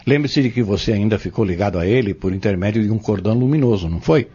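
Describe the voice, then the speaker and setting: A man playing a patient, earnest Spiritist teacher in an audio drama, explaining step by step in a measured, didactic way. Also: on the low side, lively intonation, dark tone, breathy edge. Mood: patient, instructive. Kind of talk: scripted audio drama dialogue. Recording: dull lo-fi audio.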